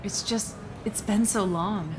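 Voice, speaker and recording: A woman's voice: medium pitch, takes it slowly, noisy background, lightly acted. A woman playing a conflicted, tired neuroscientist mother in a naturalistic audio drama, speaking in a hesitant, conversational way. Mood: nervous. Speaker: voice actor in a musical audio drama.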